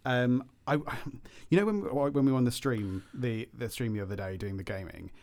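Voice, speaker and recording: A man's voice: mid-range voice, normal speed, vocal fry, darkish tone. A man co-hosting a podcast in casual chat, teasing his partner and hesitating with fillers as he thinks aloud, on a studio mic. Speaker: podcast co-host and editor. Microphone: studio mic with pop guard.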